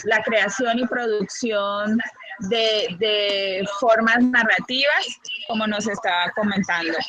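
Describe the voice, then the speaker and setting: Slow, bright-voiced woman hosting. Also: medium pitch, slight background noise, rough recording. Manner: polite, formal address, halting. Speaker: event host. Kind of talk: informal event hosting, announcements.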